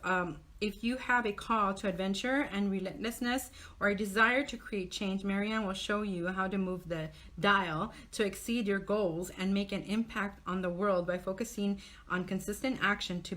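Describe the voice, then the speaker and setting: A woman's voice: mid-range voice, fairly bright tone. A woman hosting a casual live online interview show, talking in an upbeat, encouraging way. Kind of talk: casual live interview, reading out a guest bio.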